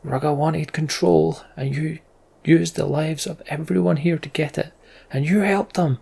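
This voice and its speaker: Merciless, commanding clan leader played by a man, loud and angry game dialogue with a creaky edge. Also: a little high, fairly even intonation, measured pace, voice pushed up, full character voice. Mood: furious.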